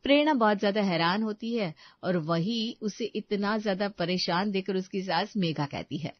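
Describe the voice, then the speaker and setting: A woman's voice: mid-range voice, moderate pace, dry recording, lo-fi sound. A woman storyteller reading scripted narration of a moral story.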